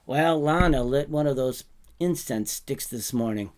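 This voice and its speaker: Man reading as a sleepy laid back guy in a short story, kinda wry and offhand, mid pitched. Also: a little slow, a little mellow, natural voice. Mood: wry, resigned.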